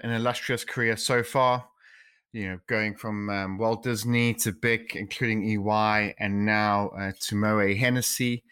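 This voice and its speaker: A man's voice: mid-range voice, fairly bright tone, clean tone. A man hosting a podcast in a conversational style, introducing and questioning as he goes. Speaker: podcast host.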